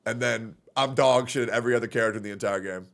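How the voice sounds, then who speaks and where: A man's voice: medium pitch, very fast, creaky, a bit bright. A man hosting a gaming stream, chatting on a voice call with crude, teasing banter. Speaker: streamer host.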